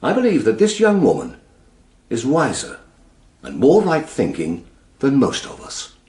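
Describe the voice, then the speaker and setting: An actor playing an earnest defence lawyer in a radio drama, giving a measured, rhetorical speech in court. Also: male voice, medium pitch, wildly swooping pitch, a little mellow. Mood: impassioned. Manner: measured, rhetorical, deliberate pauses. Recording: echoey courtroom, faint music.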